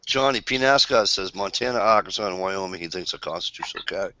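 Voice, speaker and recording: A man's voice: deep voice, fast, pushed and bright, dry recording, lo-fi sound. A man hosting a casual online group chat from home, joking, laughing often and swearing freely. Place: at home, wife in the back room.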